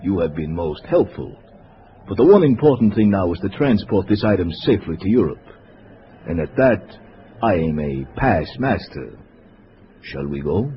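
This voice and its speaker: An actor playing a smug, commanding foreign communist spy in a 1950s radio drama, speaking formally and deliberately. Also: male voice, deep voice, big pitch swings. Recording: dull, noisy old radio recording.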